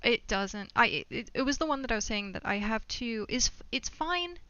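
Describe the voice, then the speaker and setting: Woman game streamer, bright forceful voice, giddy and self-deprecating, casual rambling chat, close dry lo-fi mic. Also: fairly high pitch, expressive, moderate pace, no room sound. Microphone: budget desktop microphone.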